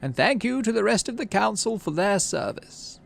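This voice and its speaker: A man acts out a gracious governor of a sci-fi game colony. The voice is lifted far up in pitch and swoops about, warm and ceremonial. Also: very animated, moderate pace, creaky, exaggerated voice. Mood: warm, proud.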